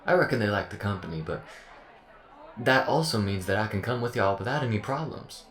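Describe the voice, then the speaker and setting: Male actor as folksy AI robot, Southern US accent, chirpy and friendly, lowish voice with lively swings, roomy echo. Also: fairly quick. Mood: folksy, upbeat. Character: cheery, folksy, sweet-talking robot AI helper. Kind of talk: scripted audio roleplay acting.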